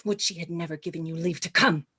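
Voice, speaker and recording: A woman's voice: on the low side, fairly even intonation, fast talker, bright, forceful tone, husky. A woman playing a capricious, jealous, imperious queen in a Shakespearean radio play, with melodramatic delivery. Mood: jealous, scornful.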